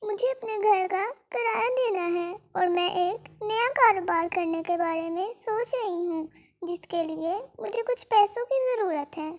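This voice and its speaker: Gentle mother bird, very high voice, narrator-acted. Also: unhurried. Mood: anxious, pleading. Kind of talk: children's cartoon story dialogue.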